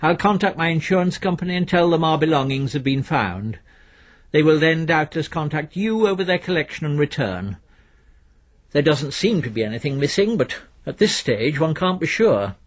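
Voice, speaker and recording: A man's voice: fairly high pitch, expressive, moderate pace, voice pushed up. A man voicing a pompous middle-aged solicitor, formal, pedantic and easily indignant, in a radio story. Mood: stiff, fussy.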